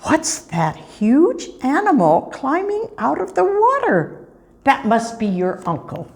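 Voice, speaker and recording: A woman's voice: high voice, huge pitch swings, slow pace, a little mellow, voice pushed up, exaggerated voice. An older woman voicing a little male kitten in a children's storybook, eager, curious and easily impressed. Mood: curious, amazed. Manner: eager, questioning.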